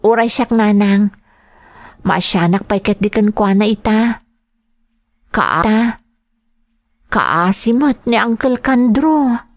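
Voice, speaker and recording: A woman's voice: mid-range voice, slow pace, long pauses, no echo, studio quality. A woman acting as a dutiful, earnest young daughter in an Ilocano radio drama, speaking with a Filipino accent. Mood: concerned, sympathetic. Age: young adult.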